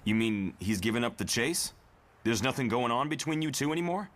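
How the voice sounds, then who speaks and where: A man's voice: medium pitch, lively intonation, brisk, a bit bright, slight background noise, natural voice. A man playing an earnest, exasperated young superhero in a motion comic. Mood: puzzled.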